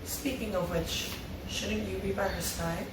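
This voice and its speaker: A woman's voice: medium pitch, steady tone, unhurried, background noise, close to an everyday voice. A woman reading the part of a scornful, sardonic female villain in a table read. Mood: scornful, taunting. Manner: taunting, sarcastic. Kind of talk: amateur table read of TV drama script. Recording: roomy, distant mic, music underneath.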